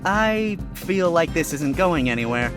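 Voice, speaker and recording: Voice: medium pitch, lively intonation, music in the background, close to an everyday voice. A wisecracking fox lawyer, voiced by an actor. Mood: wry. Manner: quick, wry, exclaiming objections. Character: wisecracking male fox defense attorney.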